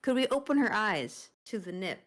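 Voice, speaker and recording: Lively woman player at a tabletop game. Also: medium pitch, moderate pace. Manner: playful, laughs easily. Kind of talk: casual tabletop play.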